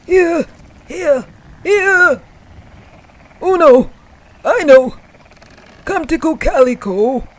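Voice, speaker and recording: A woman voicing a frightened old backwoods man in a storybook tale, calling out loudly and urgently. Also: expressive, extremely slow, long pauses, full character voice, rough recording. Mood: desperate calling. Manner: loud, urgent, high-pitched calling. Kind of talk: storybook character voice.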